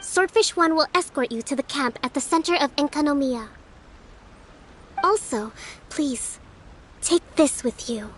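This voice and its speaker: A woman playing a gentle young priestess who leads her people in a fantasy game, speaking in a measured, thoughtful, polite way. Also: high voice, a little hiss or hum. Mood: thoughtful, composed. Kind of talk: scripted fantasy game acting.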